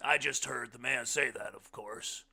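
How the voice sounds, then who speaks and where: An easygoing blind houseguest, played by a male reader, speaks high and loud in a very bright, forceful voice. He is folksy, curious and emphatic, a genial storybook character. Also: a little slow, creaky, breathy edge, exaggerated voice. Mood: musing, jovial. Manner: emphatic, folksy, calls listener bub. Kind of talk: audiobook character voice.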